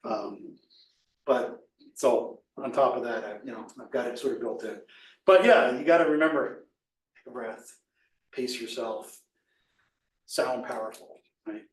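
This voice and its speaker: A man with a slightly raspy voice gives a casual, enthusiastic talk as a presenter and instructor, often tossing in "right?" as an aside. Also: medium pitch, a little slow, long pauses, echoey room, far from the mic, low-quality recording.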